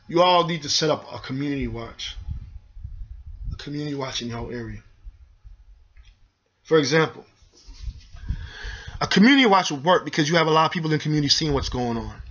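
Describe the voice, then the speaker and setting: A man's voice: on the high side, pushed and bright. A young man hosting his own show, giving heated, preachy community commentary to camera and repeatedly telling viewers "y'all need to" do things. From United States. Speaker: show host. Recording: dull lo-fi home video audio.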